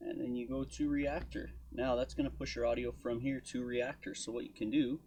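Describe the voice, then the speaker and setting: A man giving an informal software walkthrough as a tutorial host, thinking aloud as he goes. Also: medium pitch, little pitch movement, brisk. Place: indoor desk at home studio.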